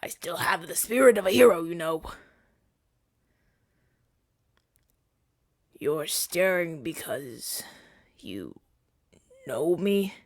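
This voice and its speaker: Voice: mid-range voice, expressive, unhurried, no echo, clean studio sound. A woman playing a flustered, self-righteous hero in a scripted ASMR audio drama, with a theatrical, stammering delivery. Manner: stammering, indignant, dramatic delivery with breathy whispers.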